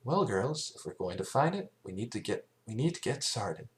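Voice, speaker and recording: Man voicing a high-pitched, thoughtful young mare. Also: very animated, normal speed. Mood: encouraging. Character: bright, reasoning young female cartoon pony leader. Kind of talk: amateur fan fiction reading of cartoon characters.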